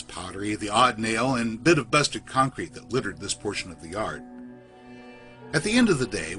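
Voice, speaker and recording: A man reading a scripted first-person horror story in a literary, measured, suspenseful storytelling manner. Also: lowish voice, bright, forceful tone. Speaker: storyteller, podcast narrator.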